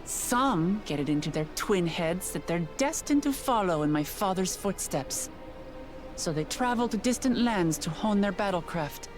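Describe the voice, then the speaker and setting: A woman's voice: lowish voice, expressive, measured pace. A woman voicing a proud, plain-spoken young princess in a video game, earnest and blunt. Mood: explanatory. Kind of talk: scripted video game voice acting. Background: music.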